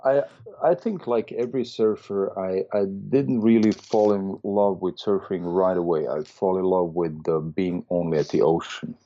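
A middle-aged man from Austria speaks in a very low, mellow voice. He talks hesitantly, filling pauses with ums and restarting his sentences. The sound is dull and lo-fi, like a remote call. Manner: hesitant, many fillers and restarts. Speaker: guest, medical doctor and surfer. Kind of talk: casual interview conversation.

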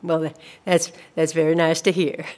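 A woman's voice: low voice, level tone, normal speed, a little mellow, lightly acted. An actress plays a female social worker in a radio play. She speaks with careful politeness and an officious edge. Her manner is evasive and soothing, yet she keeps pressing. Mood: pleasant, solicitous. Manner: politely insistent, bureaucratic, soothing reassurances. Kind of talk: scripted audio drama dialogue.

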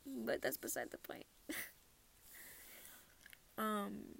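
A woman's voice: medium pitch, big pitch swings, very slow, gravelly, a bit bright, slight background noise. A teenage girl from Minnesota chatting casually about her month, rambling and laughing often. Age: teens. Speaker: podcast host. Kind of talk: casual personal chat.

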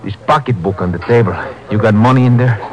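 Actor as a low, menacing robber. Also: male voice, fast, lightly acted, low-quality recording. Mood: bullying, urgent. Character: menacing Mexican knife-wielding male robber, cold and bullying. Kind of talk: 1950s radio drama acting. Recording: old 1950s radio broadcast recording.

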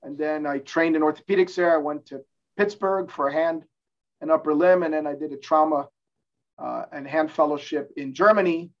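A man's voice: fairly high pitch, fairly even intonation, moderate pace, muffled recording. A middle-aged man from New Jersey, an orthopedic hand surgeon talking as a podcast guest, explaining at length with frequent restarts. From New Jersey, born in Cuba. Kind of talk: casual interview talk. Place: office in his medical building.

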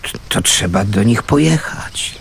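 Creaky-voiced, kindly, slow-talking scavenger. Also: male voice, fairly low pitch, lively intonation, a little hiss or hum. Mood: gentle, resigned. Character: kindly, poor male scavenger living in a shack. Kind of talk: Polish radio drama acting.

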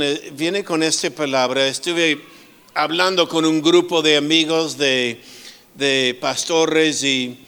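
A middle-aged man preaching as a guest speaker, slow and full of pauses and restarts, with humorous asides. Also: fairly high pitch, a bit bright, smooth. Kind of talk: sermon.

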